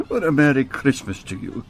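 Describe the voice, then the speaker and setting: An old reformed miser, bursting with merriment and generosity. His voice swoops widely at an unhurried pace, stammering and chuckling in stagey radio-play fashion, over clear background noise. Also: on the high side, full character voice. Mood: giddy, courteous. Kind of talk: theatrical radio drama acting.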